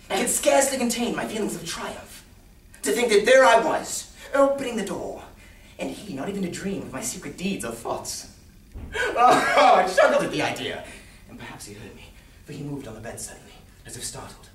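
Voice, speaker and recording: A man playing a nervous, gleeful madman confessing to murder in a theatrical stage recitation, swinging between hushed and manic delivery. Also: medium pitch, pitched above the performer's own voice. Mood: gleeful, agitated, then hushed, confiding. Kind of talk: dramatic solo stage recitation of a gothic story. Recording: roomy, distant stage recording with noise.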